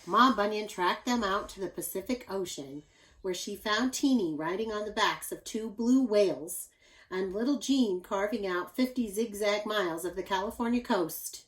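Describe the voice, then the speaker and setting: Female storybook reader for young children, teacherly and expressive, speaking directly to her listeners. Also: medium pitch, normal speed, low-quality recording. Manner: animated, addresses young listeners with asides. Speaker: teacher reading aloud to children. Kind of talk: expressive picture-book read-aloud.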